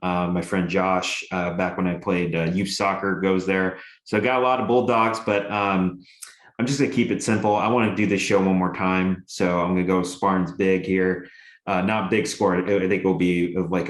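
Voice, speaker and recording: A young man with a very low, deep voice talks through a college football preview in a loose, rambling way, full of "uh" and "you know" between his points. He sounds like he is in an echoey room. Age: young adult. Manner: rambling with many fillers, enthusiastic. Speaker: sports show co-host and radio broadcaster. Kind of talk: casual sports chat.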